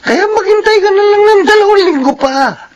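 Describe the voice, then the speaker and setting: A high-pitched, teasing skeptical buddy. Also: male voice, expressive, normal speed, muffled recording. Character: teasing, skeptical male buddy of a lovesick man. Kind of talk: radio drama dialogue.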